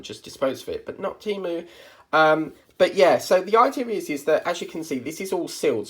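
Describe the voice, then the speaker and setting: A British man with a croaky, bunged-up voice from a cold, hosting a casual unboxing chat and rambling with lots of ums. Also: medium pitch. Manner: chatty and rambling, many ums. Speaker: crafting channel host.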